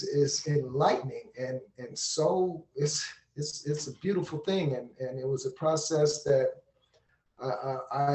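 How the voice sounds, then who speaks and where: A man giving reflective, earnest personal testimony as a panelist, repeating phrases deliberately. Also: mid-range voice, a little mellow. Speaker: monologuist on a panel. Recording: echoey room sound.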